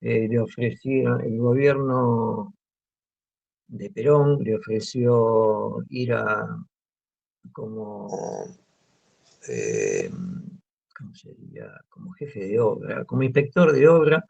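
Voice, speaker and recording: Gravelly-voiced Argentine man, hesitant. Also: mid-range voice, expressive, takes it slowly, long pauses, darkish tone. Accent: Argentine Spanish. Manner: hesitant, many fillers and restarts. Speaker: guest artist. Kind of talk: relaxed interview talk. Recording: muffled lo-fi audio.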